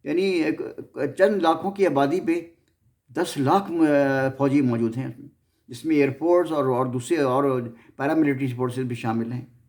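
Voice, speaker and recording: Man, Pakistani accent, gravelly fry, mid-pitched, halting history narration, repeated words. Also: a little mellow. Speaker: host of a history series. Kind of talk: informal narration of historical events with commentary.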